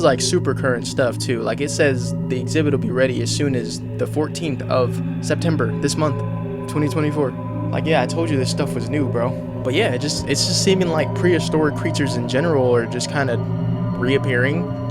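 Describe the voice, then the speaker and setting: Higher-pitched casual man over loud music. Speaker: YouTube commentator. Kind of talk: casual conversational horror explainer narration. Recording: loud music bed under voice. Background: loud background music.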